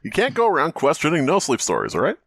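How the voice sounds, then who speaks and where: A man's voice: on the high side, huge pitch swings, quick pace, gravelly, fairly bright tone, no echo. A man co-hosting a comedy podcast, casually reading a story aloud and joking about it. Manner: joking, breaks off reading to riff. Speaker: podcast co-host.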